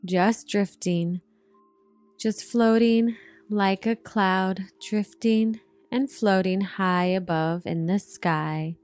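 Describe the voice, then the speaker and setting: A woman narrating a guided meditation in a soothing, gentle manner, with drawn-out hypnotic phrasing. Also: medium pitch, clean tone, no echo. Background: relaxation music.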